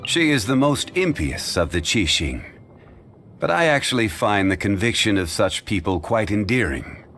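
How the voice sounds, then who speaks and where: An actor voicing an ancient, dignified male sage for a game, in formal, measured, unhurried speech. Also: fairly low pitch, big pitch swings, fairly bright tone, faint music behind. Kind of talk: studio game voice lines.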